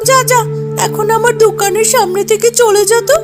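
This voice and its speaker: Casting: woman to play grumpy animal auntie at a food stall, shrill-high pitch, curt and scolding, kids' cartoon over loud music. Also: a little fast. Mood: irritated scolding. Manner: scolding, dismissive. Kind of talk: children's cartoon voice acting.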